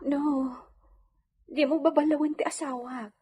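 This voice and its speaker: A woman's voice: sits high, fairly even intonation, a little slow, warm tone. A woman acting a meek, devoted young wife in an Ilocano radio drama, with an Ilocano accent. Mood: hurt, gently pleading.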